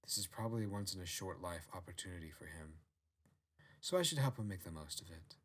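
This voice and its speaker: A man's voice: deep voice, expressive, fast, pitched below the performer's own voice. A man voicing a proud, self-assured fox queen who commands and coaxes in a storybook narration. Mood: wistful, regretful.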